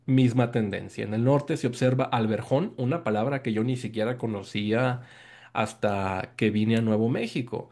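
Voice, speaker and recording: Mellow-voiced Mexican man lecturing. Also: mid-range voice, steady tone, moderate pace. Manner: explanatory, repeats key words and checks in with "¿no?". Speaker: guest lecturer presenting research. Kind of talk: academic presentation on a video call. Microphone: video call microphone.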